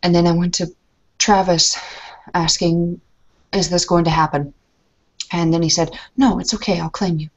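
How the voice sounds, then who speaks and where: A woman's voice: on the low side, fairly even intonation, moderate pace, pauses often. A woman in a tabletop roleplay, talking in character in an anxious, rambling way with many restarts. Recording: lo-fi muffled recording.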